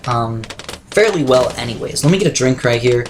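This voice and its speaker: A young man with a slightly raspy voice, talking quickly through game strategy as a let's play commentator. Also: medium pitch, expressive, background noise. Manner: fast, chatty, self-correcting strategy talk. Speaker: gameplay commentator.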